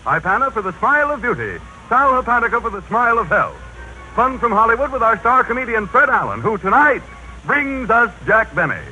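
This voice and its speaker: A man's voice: very high voice, very animated, fairly quick, bright, forceful tone, low-quality recording. An American man announcing a 1930s radio show in a theatrical showman's manner. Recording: 1930s radio broadcast, music behind.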